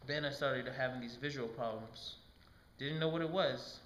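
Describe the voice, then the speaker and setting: A man's voice: mid-range voice, normal speed, a bit bright, lightly acted. A man playing a blind, rueful diabetic puppet looking back on his wild past in a scripted film monologue. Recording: echoey room, faint background noise.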